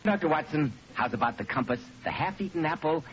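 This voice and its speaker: Folksy male announcer, eager, very lively intonation, 1940s radio show, dull recording. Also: fairly high pitch, brisk, slight background noise. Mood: eager, curious. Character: eager, friendly radio host visiting an old storyteller. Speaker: announcer and host.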